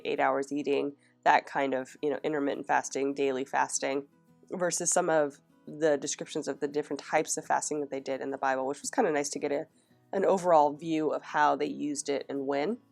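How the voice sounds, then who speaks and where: Low-voiced woman with a cold-scratched throat. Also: gravelly, a little mellow. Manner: chatty, with frequent fillers and restarts. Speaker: planner vlogger. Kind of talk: casual planner flip-through narration.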